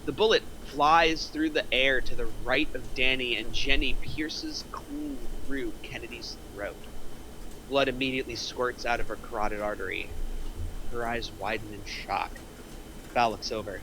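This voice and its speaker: A man's voice: mid-range voice, steady tone, unhurried, background noise. A man reading stage directions as the narrator at a script table read.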